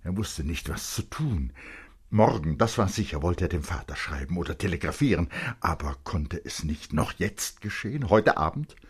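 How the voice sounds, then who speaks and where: A man with a husky voice reading literary narration for radio in an expressive, suspenseful manner. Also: low-pitched. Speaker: narrator, reader.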